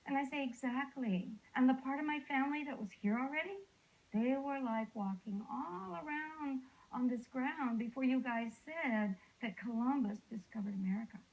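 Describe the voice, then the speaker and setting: A woman's voice: high voice, normal speed, a little mellow, muffled recording. A woman speaking emphatically in an interview, mocking the idea she argues against. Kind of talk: opinionated interview talk.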